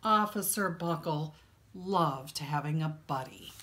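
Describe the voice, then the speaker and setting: Slow-paced woman reading a children's story. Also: sits low, pushed and bright, a little hiss or hum. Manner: deliberate, dramatic emphasis on key words. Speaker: children's librarian reading a picture book. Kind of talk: expressive read-aloud storytelling for children.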